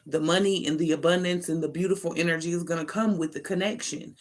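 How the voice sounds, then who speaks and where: A woman's voice: fairly low pitch, fairly even intonation, fast. An American woman giving an informal, improvised tarot reading as an online host, talking in an emphatic, slangy, conversational way with casual swearing.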